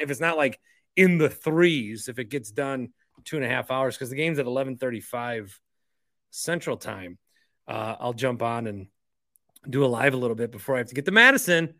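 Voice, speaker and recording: Bright-voiced American man, chatty podcast host. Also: medium pitch, expressive, moderate pace, no room sound, studio quality. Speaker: podcast host and stadium announcer. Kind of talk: casual solo podcast monologue.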